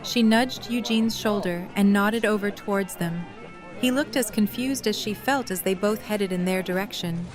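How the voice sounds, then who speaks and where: A woman reads scripted narration for an audio drama. Her voice sits at a middle pitch, with faint music underneath. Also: normal speed, rough recording.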